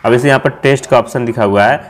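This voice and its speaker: A man's voice: mid-range voice, fast talker, a little hiss or hum. A man narrating a casual app tutorial as host, explaining step by step and repeating himself.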